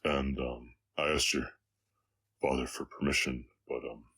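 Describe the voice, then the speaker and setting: A man playing a gentle, weary orc cowboy with a slight Southern accent, speaking haltingly and reflectively to a listener in an intimate roleplay audio drama. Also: extremely low pitch, steady tone, breathy edge, exaggerated voice. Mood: tender, hesitant. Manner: halting, reflective, soft-hearted, pausing often.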